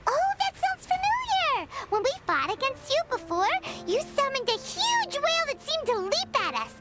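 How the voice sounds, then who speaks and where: A woman voicing a small, chirpy, nosy fairy companion with a squeaky childlike voice in a video game. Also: sing-song, normal speed, hardly any pauses, over loud music. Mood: curious. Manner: speaks of herself in third person, exclaiming.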